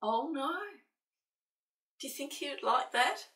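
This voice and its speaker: A woman reading a children's picture book aloud in an expressive way, with playful, dramatic asides to young listeners. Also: high voice, unhurried. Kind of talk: expressive children's storybook narration. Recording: roomy, slightly distant microphone.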